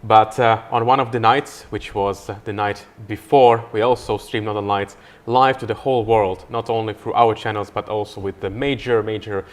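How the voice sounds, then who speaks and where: A man's voice: lowish voice, fairly even intonation, moderate pace, few pauses. A man hosting a live podcast interview outdoors by a river, talking in a friendly, enthusiastic way with frequent fillers. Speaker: podcast host.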